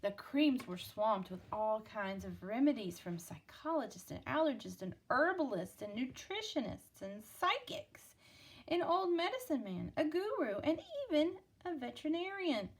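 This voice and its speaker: A woman with a fairly high voice reads a storybook aloud like a teacher. She is expressive and uses simple, child-directed phrasing. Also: normal speed. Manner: explains and asks in simple child-directed words. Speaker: teacher reading aloud for students. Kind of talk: expressive picture book read-aloud with questions to a child listener.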